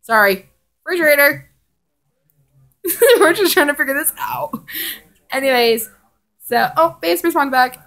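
High-voiced young woman, casual and rambling. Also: lively intonation, a little slow, gravelly, pauses often, a bit bright. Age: young adult. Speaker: vlogger. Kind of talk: casual chat and fan fiction reading. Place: living room indoors.

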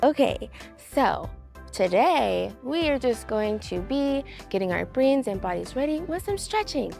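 A young woman with a high voice and a noticeable creak in it hosts story time for children. She speaks in an encouraging, exclamatory way, straight to the kids, with faint music underneath. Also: big pitch swings, measured pace. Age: young adult. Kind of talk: upbeat children's read-along presenting.

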